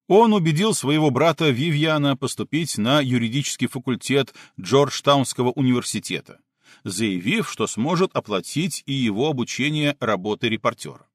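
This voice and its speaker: Expressive male audiobook narrator, dry studio sound. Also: medium pitch, dry recording.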